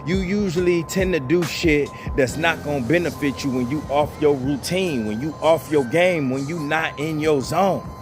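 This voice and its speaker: A man's voice: on the high side, normal speed, hardly any pauses, music in the background. A man giving blunt, profane motivational advice straight to his viewers, repeating himself and calling them "bro". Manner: blunt, profane, repetitive, calls listeners "bro". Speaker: channel host giving advice.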